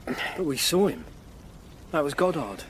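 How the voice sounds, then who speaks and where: An actor playing a blunt, earthy assistant to an investigator in a Tudor radio drama. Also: male voice, fairly high pitch, big pitch swings, a little slow, background noise. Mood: sceptical. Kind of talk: period radio drama acting.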